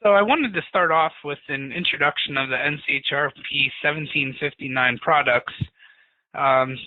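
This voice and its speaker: Man, fairly high voice, informal even-toned webinar presenter, tinny dry line. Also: no room sound. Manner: informal, self-described monotonous. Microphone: webinar call audio. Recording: tinny phone-like webinar audio.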